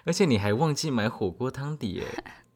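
A man's voice: mid-range voice, animated, moderate pace, darkish tone. A man acting in an audio drama as a blunt, sarcastic young dormmate who likes to needle his friends. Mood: teasing.

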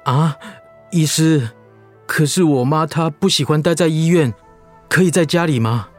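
A man's voice: on the high side, a little slow, faint music behind, close to an everyday voice. A man playing a worried, deferential son of a sick mother in a radio drama. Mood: anxious.

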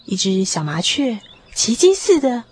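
A woman narrating a radio drama in an expressive, measured literary style. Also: a little high. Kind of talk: scripted radio drama storytelling. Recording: music behind the voice.

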